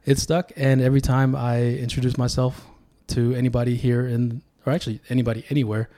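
A man from Sacramento, California, whose first language was Tongan, speaks as a podcast guest. His voice is dark and mellow, sitting at an ordinary middle pitch. He talks in a relaxed, reflective way and tells stories as he goes. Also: a little fast. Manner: reflective, storytelling. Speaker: podcast guest, political candidate. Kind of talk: casual podcast conversation.

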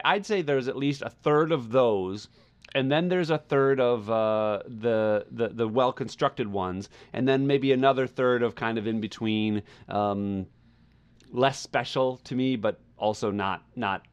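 Man talking haltingly on a podcast. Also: mid-range voice, clean tone. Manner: halting, searching for words, circles back and repeats phrases. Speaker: podcast co-host reviewing music. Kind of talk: casual conversational album review.

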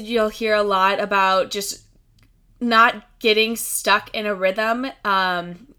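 A woman's voice: medium pitch, sharp tone. A young woman hosting a podcast, talking in a bubbly, rambling way and laughing often. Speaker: podcast host. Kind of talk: casual chatty podcast talk.

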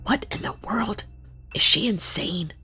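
Candid, dutiful policewoman for crime audio drama; crisp brightness with some fry, conversational delivery. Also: medium pitch, a little slow, in a whisper, soft background music. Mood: hushed fear. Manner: deferential but frank, conversational. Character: loyal, candid female police officer reporting to her captain.

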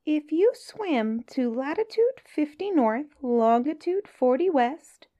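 A woman's voice: high-pitched, animated, slow pace, warm tone, pitched above the performer's own voice. A woman voicing a small, clever fish in a storybook reading, speaking in a polite, scheming way. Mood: sly.

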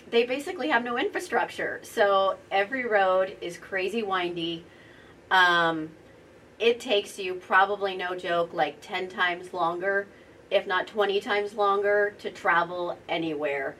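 A woman in casual conversation, enthusiastic, pausing often on ums. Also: medium pitch, fairly bright tone. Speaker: guest. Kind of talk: casual chat. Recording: distant mic, faint background noise.

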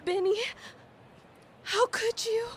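A woman's voice: very high voice, fairly even intonation, slow pace, a little hiss or hum. A woman voicing Marion, an old female forest critter who is indignant and distressed. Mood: heartbroken.

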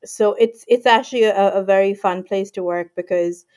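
A woman in her forties from Pakistan, calmly explaining her work as a guest in an interview with frequent ums and you-knows. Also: medium pitch, moderate pace. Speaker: guest, IP lawyer. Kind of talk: casual interview talk.

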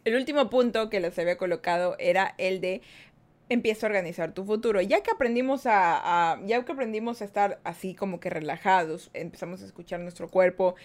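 A playful, chatty woman, hosting a casual live podcast. She talks easily and directly to her audience in a mid-pitched voice, reading their comments aloud as she goes. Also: brisk, darkish tone. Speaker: podcast host.

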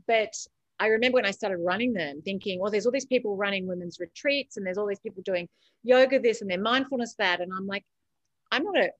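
A woman's voice: mid-range voice, fast, no echo, muffled recording. A woman with an Australian accent giving emphatic, encouraging advice as a guest in a motivational interview, often repeating phrases for effect. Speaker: podcast guest, author and coach.

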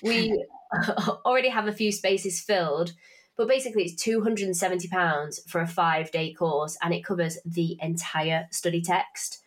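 A young woman in her twenties, a podcast host, talking in a chatty, swearing, self-mocking way while congested from hay fever. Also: on the low side. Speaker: podcast host and finance tutor. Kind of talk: casual podcast chat with promotion.

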